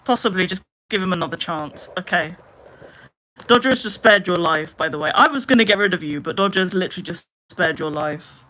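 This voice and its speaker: Woman in her twenties, live streamer, bright crisp voice, chatty and rambling. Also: lowish voice, animated, brisk. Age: 20s. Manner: chatty, heavy use of "like", trailing off into mutters. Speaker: livestreamer. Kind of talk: casual live stream chat.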